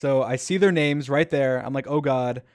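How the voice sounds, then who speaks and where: A man's voice: medium pitch, moderate pace. A man casually commenting on his own gameplay clips during a stream, rambling and repeating himself with enthusiasm.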